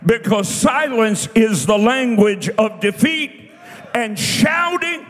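An American man in his sixties preaching a fiery Pentecostal revival sermon, shouting and exhorting with repeated phrases. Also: very high voice, expressive, slow. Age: 60s. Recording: reverberant large hall, music behind.

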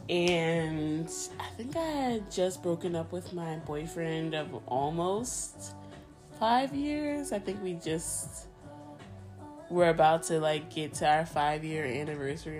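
Chatty, giggly Spanish-native woman guest. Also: sits low. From New Jersey. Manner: chatty, full of "like" and laughter. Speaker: podcast guest. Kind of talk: casual interview.

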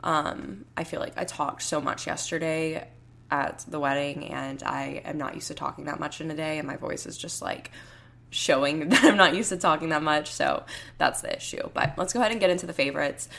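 Young female podcast host, low and gravelly, hoarse; loose rambling chat from home. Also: fairly quick, fairly bright tone. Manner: rambling, heavy use of "like" and "um". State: hoarse, raspy voice. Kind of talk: casual solo podcast chat.

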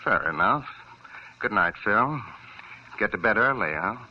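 A man's voice: fairly low pitch, animated, unhurried. An actor playing a sly, insinuating nightclub owner in a 1940s radio drama, chuckling as he talks. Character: sly male nightclub owner, genial menace. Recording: dull old radio recording.

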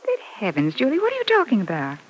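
An actress playing an emotional, sharp-tongued mother with a melodramatic, halting delivery in an old-time radio serial. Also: on the high side, huge pitch swings, slightly rough, exaggerated voice, rough recording. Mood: alarmed. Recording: old radio broadcast, faint hiss.

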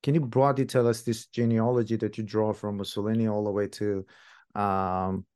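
A man's voice: on the low side, level tone, moderate pace, a little mellow, smooth, no room sound, clean studio sound. A man hosting a podcast interview, asking polite, hesitant questions with fillers. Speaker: podcast host.

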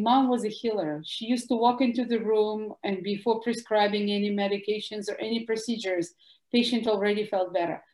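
A woman with a Russian accent, a guest doctor answering interview questions in an animated, emphatic way. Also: mid-range voice. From former Soviet Union. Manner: animated, emphatic, bursts of louder words. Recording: echoey room.

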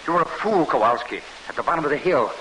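An actor playing a stubborn, impatient wounded soldier on the run, brusque and argumentative, in an old-time radio drama. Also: male voice, a little high, animated, quick pace, pushed and bright, faint music behind, poor audio. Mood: defiant. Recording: hissy vintage radio broadcast.

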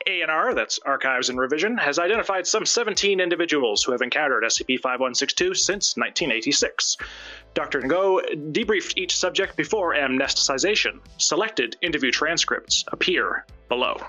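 A man's voice: fairly high pitch, animated, a little fast, sharp tone, soft background music, low-quality recording. A man on a livestream, casually organising a group reading and reading out report text.

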